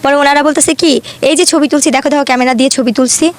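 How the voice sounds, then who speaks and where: A woman's voice: high-pitched, fairly even intonation, quick pace. A young woman with a Bangladeshi accent, telling her own life story conversationally in detail, often quoting dialogue. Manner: detailed retelling with frequent quoted dialogue.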